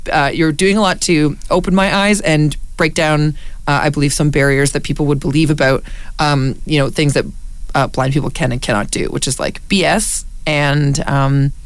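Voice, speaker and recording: A woman, talking loudly and casually in a radio studio, full of fillers and restarts. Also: no echo. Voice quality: deep voice. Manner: loud talker with many fillers and restarts. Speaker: community radio station staff.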